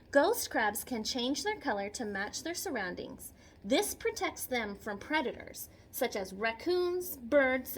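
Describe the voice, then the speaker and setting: Lady teacher doing a kids read-aloud, highish lively voice, explains stuff simply and asks questions, bit of noise behind. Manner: expressive, simple child-directed explaining with repetition and questions. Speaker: children's teacher reading a picture book aloud. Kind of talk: read-aloud lesson with chatty explanatory asides.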